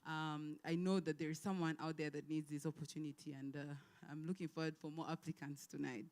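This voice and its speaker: A woman's voice: sits low, little pitch movement, fairly quick, a little mellow. A woman speaking as an event host, giving an informal, polite and somewhat hesitant welcome speech.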